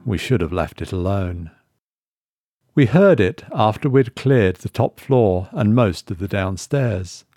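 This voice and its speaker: Guy reading a scary story, low voice, slow n ominous, really dry close sound. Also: lively intonation, dark tone, dry recording. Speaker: story reader and narrator. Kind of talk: scripted horror story narration.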